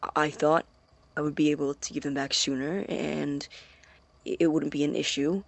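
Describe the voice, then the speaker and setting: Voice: medium pitch, little pitch movement, moderate pace, gravelly, no echo. An actor playing an anxious, secretive man in an audio drama, stammering and defensive as he guards his experiments. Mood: subdued, tense. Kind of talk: naturalistic audio drama acting.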